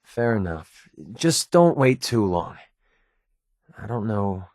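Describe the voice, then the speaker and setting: An actor playing a wry, guarded young American mercenary companion in a video game, talking in a sardonic, conversational way. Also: male voice, on the low side, big pitch swings, takes it slowly, a little mellow, no echo, studio quality. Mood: sincere, subdued. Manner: sardonic, conversational, hesitant asides.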